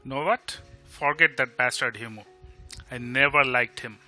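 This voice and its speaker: A man's voice: mid-range voice, a little slow, bright, forceful tone, faint music behind. A man with an Indian Bengali accent playing a blunt, sardonic, protective best friend in an audio drama. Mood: scornful, blunt.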